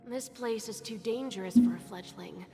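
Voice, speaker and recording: A woman playing a thoughtful, sensible young boy with a careful, gentle, serious manner in a video game. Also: fairly high pitch, moderate pace, natural voice. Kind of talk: scripted video game voice acting. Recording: hall reverb under faint music.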